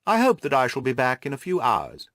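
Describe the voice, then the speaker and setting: A man acts out a keen detective for an audiobook. His speech is lively and swooping in pitch, precise and courteous, full of self-assurance, and the sound is close and dry. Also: fairly quick, no room sound. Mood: keen, casual. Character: brisk, confident male detective, keen and courteous. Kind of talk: audiobook character dialogue.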